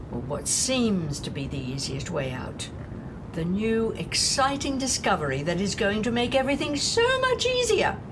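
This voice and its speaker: A woman playing a despairing, scornful woman in an audio drama monologue, haltingly lecturing an unseen listener on humanity's end. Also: mid-range voice, background noise. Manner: deliberate, halting, rhetorical, addressing an unseen listener.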